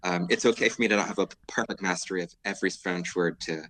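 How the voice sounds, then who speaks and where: Podcast guest: a low-pitched man in his thirties from California, articulate and relaxed in how he explains. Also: quick pace, rough recording. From San Diego, California, US. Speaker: guest attorney. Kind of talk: podcast interview.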